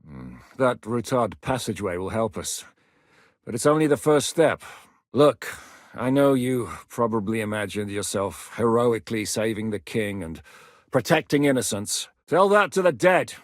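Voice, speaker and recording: A man's voice: on the low side, expressive, normal speed, no echo, clean studio sound, lightly acted. Jan Žižka, a man voicing a stern warlord. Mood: stern, blunt. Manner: blunt, commanding, gruff counsel. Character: stern battle-hardened male military commander, middle-aged. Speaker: game character. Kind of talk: video game voice acting. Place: recording studio. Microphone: close studio mic.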